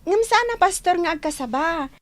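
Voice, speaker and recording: A woman's voice: very high voice, animated, moderate pace, no room sound. A woman with an Ilocano accent playing a cheeky, inquisitive young child in a radio comedy drama, pert and questioning. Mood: teasing, curious.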